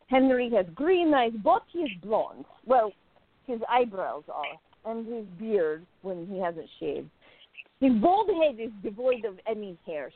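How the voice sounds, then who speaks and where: A woman playing a jealous, besotted young woman confiding in her diary in a radio play, intimate, expressive and musing. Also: fairly high pitch. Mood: curious, musing. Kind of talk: dramatic first-person diary narration in a radio play. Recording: narrow phone-like lo-fi sound.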